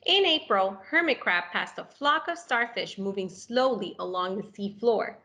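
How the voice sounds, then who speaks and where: A woman reading a picture book aloud to children, animated and expressive, with questions for young listeners. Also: medium pitch, moderate pace, a little hiss or hum. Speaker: story reader for children.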